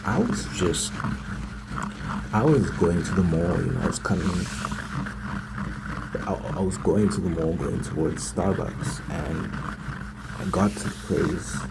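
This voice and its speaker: Very deep, creaky man, casually storytelling. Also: measured pace, pauses often. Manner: hesitant, repetitive, slangy storytelling. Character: smitten, naive young man gushing about his new girlfriend. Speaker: ASMR roleplay performer. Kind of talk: ASMR roleplay monologue, casual chat. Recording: lo-fi ASMR with loud rumbling noise.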